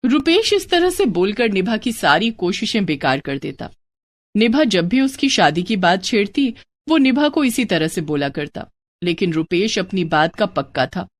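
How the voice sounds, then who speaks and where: A woman's voice: medium pitch, sing-song, moderate pace, dry recording. A woman narrating a scripted moral bedtime story as a storyteller.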